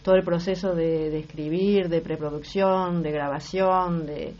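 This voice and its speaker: A woman's voice: lowish voice, fairly even intonation, unhurried, a little mellow. A middle-aged woman from Uruguay with a Rioplatense accent, an actress and singer, answering interview questions thoughtfully and often restarting her sentences. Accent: Rioplatense Spanish. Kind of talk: casual interview talk. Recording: slightly muffled broadcast audio.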